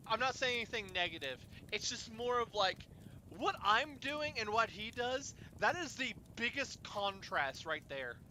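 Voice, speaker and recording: A man hosting an informal chat, telling rambling stories in a halting, animated way and swearing at times. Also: high voice, a little hiss or hum, low-quality recording. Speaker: host of an informal fireside chat. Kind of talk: casual chat, rambling storytelling.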